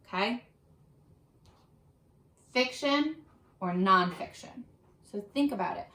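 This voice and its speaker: A woman reading aloud to children as a teacher, addressing them directly with questions in an animated, instructional way. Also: a little high. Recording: roomy, echoing sound.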